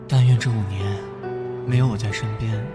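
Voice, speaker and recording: A man's voice: on the low side, fairly even intonation, moderate pace, lightly acted. A man playing an earnest, caring young male detective and protective older brother in an animation dub. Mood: wistful, pensive. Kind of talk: Mandarin animation dub acting with inner monologue. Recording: background music under the voice.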